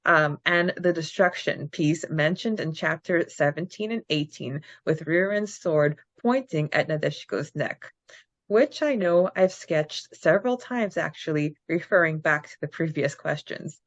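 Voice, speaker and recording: A woman's voice: low-pitched, animated, a little slow, no room sound. Chatty woman on a lo-fi mic. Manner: chatty, laughs often, reads out answers. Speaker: podcast co-host. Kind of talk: casual fan podcast chat.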